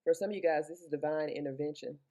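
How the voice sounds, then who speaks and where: A woman with a deep voice giving a casual, rambling tarot reading. She talks chatty and loose, swears now and then, and records through Bluetooth earbuds. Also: fast, mellow. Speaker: tarot reader.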